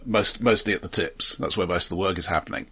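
A man's voice: low voice, quick pace, pushed and bright, husky. A man co-hosting a casual film podcast, talking in a pedantic, explanatory way and often stammering and repeating words as he goes.

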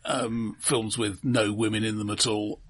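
A man co-hosting a film podcast, talking casually in a halting, analytical way. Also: on the low side, little pitch movement, slight background noise.

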